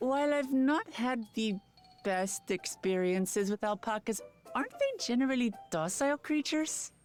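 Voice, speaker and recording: Mild young adventurer girl in a fantasy game, polite, higher voice, lively, faint music. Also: measured pace. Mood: earnest, doubtful.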